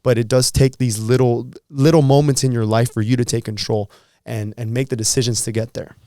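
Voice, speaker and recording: A man in his thirties talks with earnest encouragement as a podcast co-host, often repeating words while he gathers his thoughts. His voice is smooth and resonant at a middling pitch. The sound is dry and close, with no room around it. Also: steady tone, fairly quick, dark tone, no echo. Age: 30s. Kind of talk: casual motivational chat.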